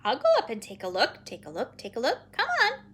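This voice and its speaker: A woman voicing a bossy, scornful female pet bird in a children's story, brisk and exasperated, repeating the ends of phrases. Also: a little high, huge pitch swings, a bit bright, breathy edge, heavily acted. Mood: brisk, impatient.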